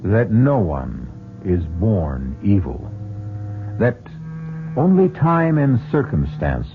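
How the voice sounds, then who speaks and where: A man's voice: fairly low pitch, very animated, slow, noisy background. A man hosting an old-time radio mystery with dramatic, ominous, rhetorical scripted narration. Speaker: host and narrator. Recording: phone-like old radio recording. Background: music.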